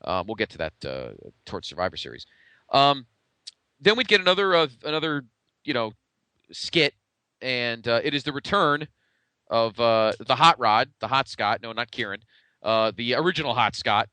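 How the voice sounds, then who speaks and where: A man's voice: medium pitch, sing-song, normal speed, bright, forceful tone, smooth, dry recording. A man co-hosting a podcast in casual, conversational retrospective chat, with a blunt way of talking. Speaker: podcast co-host.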